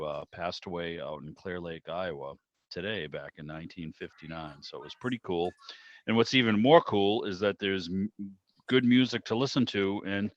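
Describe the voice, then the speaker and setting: An American man hosting an online club meeting in a chatty, informal, meandering way, with casual welcomes and questions. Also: low voice. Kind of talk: casual spoken welcome and interview. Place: indoors on a video call. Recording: muffled, roomy video-call audio.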